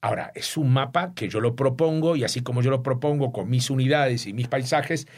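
An older man from Uruguay, an author speaking thoughtfully in an interview about his book and often pausing to search for words. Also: mid-range voice. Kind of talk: reflective interview talk.

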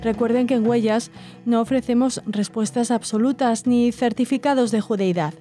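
A woman hosting a radio program, reading listener letters aloud in a measured, gentle way with occasional hesitations. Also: a little high. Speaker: radio host. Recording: faint music underneath.

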